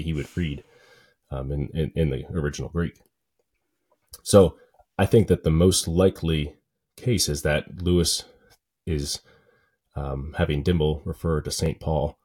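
A man's voice: extremely low pitch, darkish tone, slightly rough. A man giving an unscripted explanatory talk, thinking aloud as he works through ideas step by step. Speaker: lecturer on literature and scripture.

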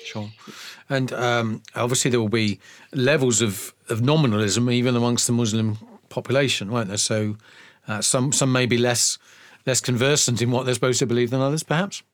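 A man's voice: medium pitch, fairly even intonation, normal speed, no room sound. A man hosting a radio interview, asking friendly questions.

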